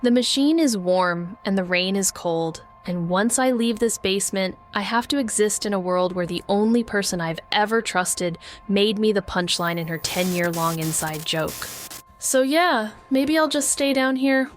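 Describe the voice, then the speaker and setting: A woman's voice: mid-range voice, moderate pace, slight background noise, natural voice. A wry, paranoid woman sleuth played by an actress narrates a noir audio drama. Her delivery is sardonic and brooding, with a bitter edge and a mid-range voice.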